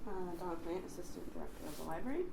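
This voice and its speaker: A hoarse woman with a somewhat low voice speaks as an assistant library director at a board meeting. She is measured and earnest. The recording is echoey, with background hiss. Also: animated. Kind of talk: public comment at a board meeting. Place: town meeting room. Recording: roomy government-access recording with background hiss.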